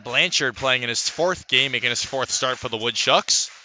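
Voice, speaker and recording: A man's voice: mid-range voice, moderate pace, bright, forceful tone, a little hiss or hum. A man calling baseball live as a radio play-by-play announcer, fluently reciting stats and lifting his delivery on each play. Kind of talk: live sports play-by-play commentary.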